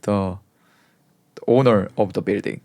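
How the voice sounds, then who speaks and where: Korean-accented man, halting English, lowish voice. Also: steady tone, darkish tone, no echo. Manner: halting, searching for English words, laughs often. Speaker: medical checkup center manager, guest. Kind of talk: casual interview chat.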